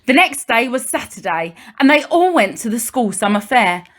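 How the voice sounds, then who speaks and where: Higher-pitched woman reading a children's story. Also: very animated, moderate pace. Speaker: primary school teacher reading a story.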